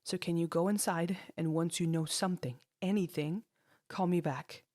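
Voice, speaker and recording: A caring woman in an audio story, acted by a female narrator, calm and reassuring. Her voice sits low and steady, recorded very dry. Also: normal speed, no room sound, lightly acted. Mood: calm concern. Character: steady, caring woman calming someone over the phone.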